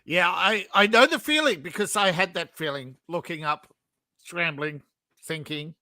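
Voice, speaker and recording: Man, 50s, chatty and opinionated, high and bright, dry close recording. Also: measured pace, no echo. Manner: chuckling, opinionated, ranting in bursts. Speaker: participant in an online group chat. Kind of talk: casual chat.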